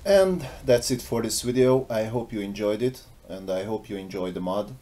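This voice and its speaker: A man's voice: fairly low pitch, normal speed, mellow. A man presenting a game mod in scripted, explanatory video narration.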